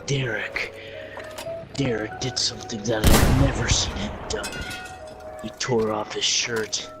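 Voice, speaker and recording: Slow, dramatic man storytelling over loud music. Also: fairly low pitch, fairly even intonation. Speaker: storyteller and organiser in an improv story game with friends. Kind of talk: improvised comic storytelling among friends.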